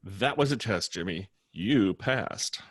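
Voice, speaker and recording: A man acting a tipsy, snide young heckler in a script read. He talks slowly with big swings in pitch. His tone is sardonic and teasing. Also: expressive, slightly rough, exaggerated voice. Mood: mocking. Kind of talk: screenplay table read.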